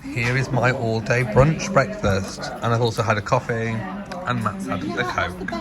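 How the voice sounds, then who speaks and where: A man's voice: medium pitch, fairly even intonation, a little slow. A man hosting a casual vlog, chatting to viewers and narrating what is around him. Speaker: vlog host. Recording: noisy handheld vlog with crowd and street sounds.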